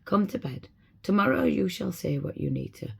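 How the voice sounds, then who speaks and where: A husky-voiced reader playing a loving fairy queen speaking softly and tenderly to her daughter in a storybook. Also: mid-range voice, animated, normal speed, heavily acted. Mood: soothing.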